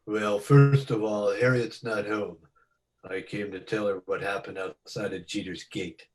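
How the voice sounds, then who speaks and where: A man's voice: fairly low pitch, fairly even intonation, unhurried, crowd noise, natural voice, poor audio. A man playing a self-assured, menacing casino boss in a radio detective play, terse and commanding. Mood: coolly threatening. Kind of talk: old-time radio detective drama acting.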